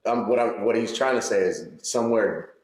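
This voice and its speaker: A man's voice: fairly low pitch, fairly quick, a little mellow. A man hosting a podcast in casual chat, affirming his guest and restating what he means. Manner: affirming, sums up and rephrases the guest. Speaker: podcast host. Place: podcast studio room. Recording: roomy studio echo.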